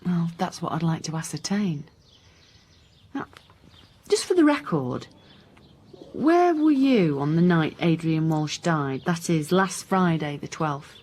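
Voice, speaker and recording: A woman acting a dry, sceptical police inspector in a radio drama, questioning in a measured, pointed way. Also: deep voice, expressive, a little mellow, full character voice. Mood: businesslike, probing. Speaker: actor in radio crime drama.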